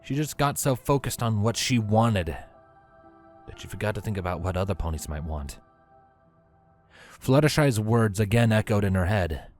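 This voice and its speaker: A man narrates a story in a fairly low voice. His delivery is scripted storybook reading, with light music playing behind him. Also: lively intonation, moderate pace, pauses often, darkish tone.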